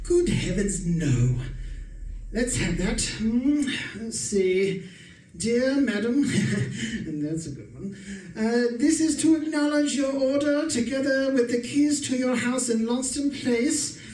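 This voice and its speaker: An actor playing a genteel, smug, scheming married professor in a formal old-time radio suspense style. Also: male voice, extremely high pitch, animated, slow, a little mellow, full character voice. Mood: uneasy, reading aloud. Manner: formal, chuckling, self-satisfied. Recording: roomy, distant stage recording with music.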